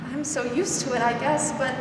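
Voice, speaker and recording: A young woman acting a gentle, weary, protective older aunt in a 1940s-style radio drama, soothing and hesitant. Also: sits high, natural voice. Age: young adult. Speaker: student actor. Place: school theatre stage. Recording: echoey hall, noisy background.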